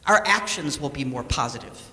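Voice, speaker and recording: A woman delivers a scripted sermon in a bright, forceful voice with lively rises and falls. She is deliberate and unhurried, pausing on key words. Her words ring through an echoey hall. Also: deep voice. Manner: measured, deliberate, with pauses for emphasis. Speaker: lay speaker reading a sermon. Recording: echoey hall with long reverb.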